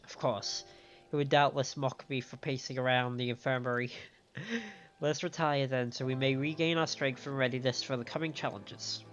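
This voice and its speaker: Male game streamer, mid-pitched, measured read-aloud and commentary, slightly muffled stream sound over music. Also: a bit bright. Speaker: livestream host playing and reading a game story aloud. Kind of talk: live game commentary, reading dialogue aloud.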